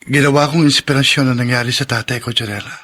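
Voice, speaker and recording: An actor playing an earnest, polite young man in a radio drama, husky-voiced and serious. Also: medium pitch, steady tone, fast talker, a bit bright. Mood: grave. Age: young adult. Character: earnest young man, shy then grimly determined.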